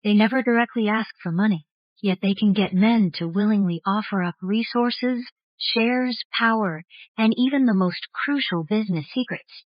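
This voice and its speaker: A woman's voice: mid-range voice, normal speed, no room sound, highs cut off. A woman delivering scripted narration of a fantasy story.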